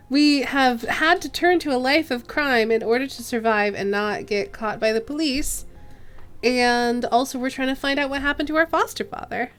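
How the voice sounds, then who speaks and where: A woman's voice: a little high, a bit bright, clean tone. Chatty woman streaming a game. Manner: chatty, giggly, reads game text aloud. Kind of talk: casual game-stream chat and text reading.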